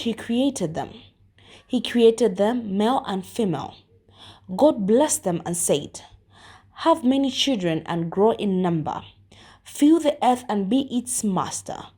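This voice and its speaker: A woman's voice: fairly high pitch, mellow, slightly rough. A woman reading scripture aloud as scripted narration. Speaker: volunteer scripture reader.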